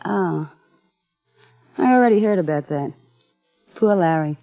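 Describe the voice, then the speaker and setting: A woman's voice: on the low side, animated, unhurried, dry recording, faint music behind. A woman playing a flirtatious, breezy, evasive woman in a 1950s radio crime drama, casual and coy. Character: flirtatious, breezy, cool woman dodging police questions. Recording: muffled old radio broadcast recording.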